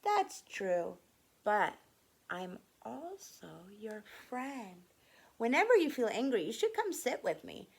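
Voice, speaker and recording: A woman's voice: fairly high pitch, big pitch swings, slow, gravelly, breathy edge, full character voice. A woman voicing a friendly, reassuring anger creature that keeps a child company in a picture book.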